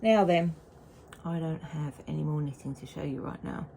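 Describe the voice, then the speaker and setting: Knitting vlogger, woman, lower voice, chatty, restarts her sentences, quiet room hum. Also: normal speed, gravelly. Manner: chatty and rambling, with frequent restarts. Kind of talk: casual chat to camera.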